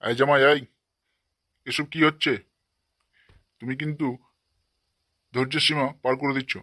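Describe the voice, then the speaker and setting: A man's voice: medium pitch, fairly even intonation, moderate pace, a bit bright, no echo, studio quality, lightly acted. A man voicing an indignant, blustering older father-in-law in a cartoon comedy.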